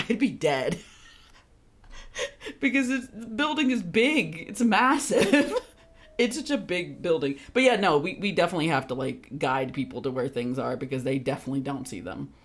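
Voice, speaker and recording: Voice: medium pitch, lively intonation, moderate pace. A young adult game streamer chatting casually with viewers in a sweary, giggly, teasing manner. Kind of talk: casual livestream chat with viewers.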